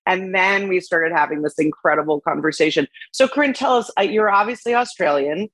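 A woman's voice: on the low side, brisk, fairly bright tone, dry recording. A woman hosting an interview podcast, gushing and upbeat as she welcomes and questions her guest. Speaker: podcast host. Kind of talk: casual interview chat.

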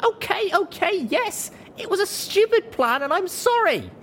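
A man's voice: very high voice, sing-song, extremely slow, a little hiss or hum, voice pushed up, heavily acted. A man voicing a young female pony heroine, earnest, anxious and pleading, in a fantasy audio story. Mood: pleading, apologetic.

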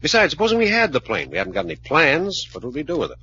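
An actor playing a gruff, practical adventurer leader in a 1940s radio serial, curt and dry. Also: male voice, on the high side, big pitch swings, very fast, bright, forceful tone, full character voice. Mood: curt, irritated. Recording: dull, noisy old-time radio recording.

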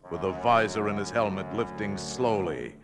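Male actor for solemn sci-fi radio narrator, very deep, portentous, vintage broadcast with music underneath. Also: normal speed, lightly acted. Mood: ominous, intense. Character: grave male narrator of a science fiction tale. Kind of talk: dramatic 1950s radio narration.

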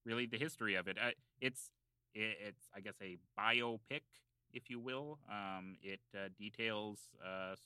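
A man plays an earnest local history buff on a comedy podcast. His low voice is very bright and crisp, and he rambles through hesitant, mock-scholarly explanations. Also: normal speed, dry recording. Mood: earnest, rambling. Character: rambling, self-serious small-island local man explaining town lore. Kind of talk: improvised comedy podcast banter in character.

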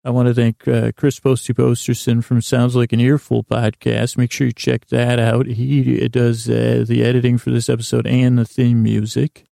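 A man hosting a sleep podcast in a rambling, halting bedtime monologue, losing his thread and restarting in a gravelly voice. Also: medium pitch, flat delivery, warm tone, no room sound, clean studio sound. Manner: meandering, halting, self-interrupting, absent-minded.

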